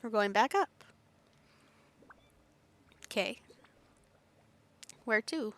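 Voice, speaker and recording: Casting: female game streamer, slightly high voice, casual self-directed commentary while playing. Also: lively intonation, very slow, fairly bright tone.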